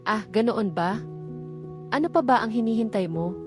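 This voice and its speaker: A Filipino woman voicing an earnest, caring young man in a romance audiobook, gently coaxing. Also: on the high side, normal speed, close to an everyday voice. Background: background music.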